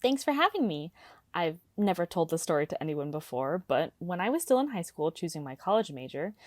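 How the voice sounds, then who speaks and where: A woman playing an earnest radio caller who tells an eerie personal story in a deliberate, dramatic way. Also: fairly low pitch, a little mellow, no echo, studio quality, natural voice. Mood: polite. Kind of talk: scripted first-person storytelling.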